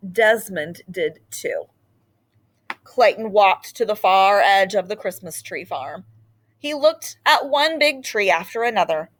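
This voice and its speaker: A woman reading a children's picture book aloud in an expressive storytelling style. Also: mid-range voice.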